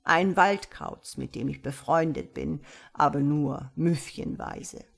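A woman voicing a wise, patient tomcat in a storybook, speaking in a measured, gently instructive and slightly pompous way. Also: low voice, creaky, slightly rough, pitched below the performer's own voice, exaggerated voice. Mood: kindly, matter-of-fact. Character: wise, patient male tomcat, calm mysterious mentor. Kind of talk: storybook character voice.

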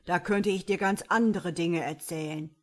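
Woman doing a old male devil, gruff, grumbling, loud, mocking, storybook audiobook. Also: normal speed, close to an everyday voice. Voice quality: louder, lower grumbling voice. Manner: scolding, teasing, gruff. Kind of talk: audiobook character voice.

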